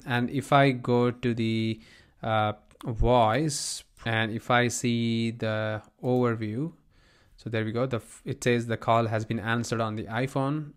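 A man presenting a software tutorial as an informal screencast, explaining step by step and often repeating his phrases. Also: mid-range voice. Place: voice-over on a screen recording.

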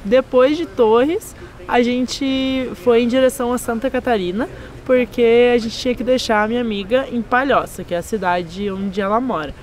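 A young woman in her twenties from southern Brazil with a southern Brazilian accent, casually narrating a road trip, a little hoarse. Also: fairly high pitch, moderate pace, faint music behind, low-quality recording. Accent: southern Brazilian Portuguese. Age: 20s. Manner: friendly, relaxed storytelling with light laughs. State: slightly hoarse after a party. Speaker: travel vlogger. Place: outdoors, garden and hilltop trails.

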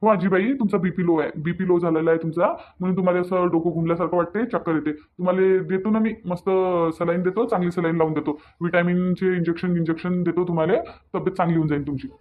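A man's voice: high-pitched, steady tone, fast talker, hardly any pauses, narrow, tinny audio, lightly acted. A man voicing a reassuring village doctor in a cartoon, folksy and repetitive, with a Varhadi Marathi accent. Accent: Varhadi, Vidarbha Marathi. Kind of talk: cartoon dialogue.

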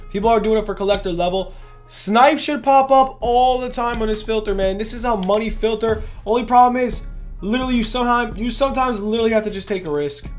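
Hoarse hyped young man gaming. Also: high voice. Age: young adult. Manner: hyped, rambling, says "literally" and "bro" constantly. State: losing his voice. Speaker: gaming channel host. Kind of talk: casual gaming commentary. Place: indoors at a gaming setup, face cam.

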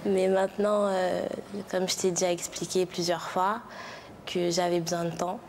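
A woman's voice: lowish voice, little pitch movement, moderate pace, slight background noise. Careful, hesitant woman in her twenties. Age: 20s. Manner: careful, hesitant, many fillers. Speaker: guest. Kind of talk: TV talk show reply. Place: large TV studio with audience. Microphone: lapel mic.

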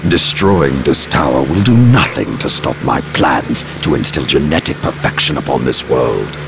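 An actor playing a pompous, menacing supervillain in a video game, grandiose and contemptuous, with a gravelly voice. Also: male voice, rumbling low, animated, normal speed, music in the background, full character voice. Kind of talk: video game villain acting.

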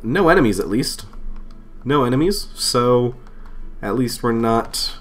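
A man's voice: medium pitch, lively intonation, unhurried. A man getting over a cold, chatting casually and thinking aloud as he plays through a game, with fillers and small laughs at himself. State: recovering from a cold. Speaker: let's play host. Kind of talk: casual gameplay commentary. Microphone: desk microphone.

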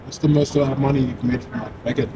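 A man conducts a casual street interview. He talks quickly as he puts his questions. Clear traffic noise runs behind his voice. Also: medium pitch, level tone, lo-fi sound. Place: outdoors in a city street at night. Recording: noisy street with traffic ambience.

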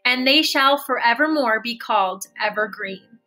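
A woman's voice: on the high side, normal speed, sharp tone, faint music behind. A woman voicing a regal frost queen and mother in a storybook, firm but fair.

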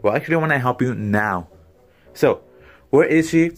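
A man's voice: medium pitch, lively intonation, moderate pace, faint music behind, natural voice. A man playing a catty rival woman. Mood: snide. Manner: snide and gossipy. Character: smug, catty woman rival, fake-friendly. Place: small cluttered bedroom, indoors. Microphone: phone camera at arm's length.

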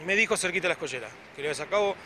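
A man's voice: high voice, expressive, rapid-fire, bright, forceful tone. A man in his forties with an Argentine Spanish accent, a club president talking casually into a handheld mic outdoors, with lots of fillers and restarts. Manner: chatty, many "eh" fillers and restarts. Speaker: club president, event organizer. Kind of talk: casual on-camera interview. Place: outdoors by a beach, in front of hedges. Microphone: handheld reporter mic close below chin. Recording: clear background noise outdoors.

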